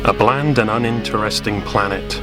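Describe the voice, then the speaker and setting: Casting: male narrator for scripted audio drama, lowish pitch, set against a clear music bed. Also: moderate pace.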